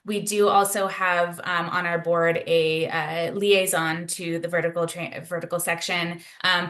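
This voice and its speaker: Lady running a webinar q&a on a video call, friendly and measured, lots of ums, lowish voice. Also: a bit bright. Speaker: webinar presenter. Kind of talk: webinar presentation and Q&A.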